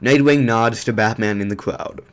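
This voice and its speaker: A man's voice: on the low side, normal speed. Man narrating an amateur audio drama.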